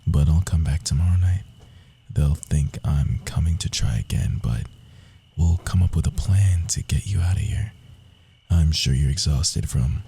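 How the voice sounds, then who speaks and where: A man playing a proud, wounded young rogue assassin in an intimate ASMR roleplay, speaking in a hushed, confiding way with dramatic pauses. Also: extremely low pitch, heavily acted. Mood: soft, resolved. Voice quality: husky, breathy, often dropping to a whisper.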